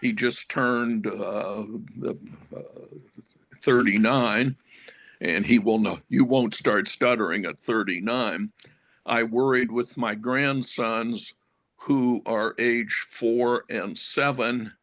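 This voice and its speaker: An older man shares a personal story with a group, taking his time and apologizing for talking long. His delivery is very slow and unhurried, and the sound is dry and close. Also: medium pitch, steady tone, fairly bright tone, dry recording. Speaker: retired professor who stutters.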